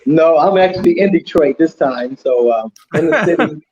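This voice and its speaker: Male co-host, casual, soft dark tone, dull lo-fi remote audio. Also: a little high, animated, normal speed, breathy edge. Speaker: show co-host. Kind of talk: casual chat.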